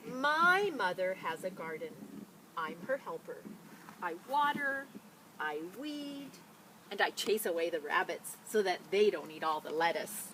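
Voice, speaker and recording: A woman who works as a teacher librarian, talking and reading a picture book to children in an expressive, enthusiastic way. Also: fairly low pitch, a little slow, slight background noise, poor audio. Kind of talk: children's story read-aloud with casual introduction.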